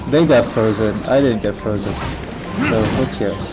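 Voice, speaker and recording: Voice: mid-range voice, normal speed, rough recording. A live streamer of indeterminate sex giving casual commentary while playing a video game. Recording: game music behind the voice.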